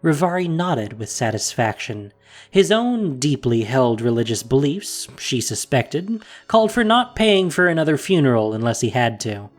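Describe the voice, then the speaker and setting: A man reading scripted fantasy story narration with expressive delivery. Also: medium pitch, moderate pace, soft background music.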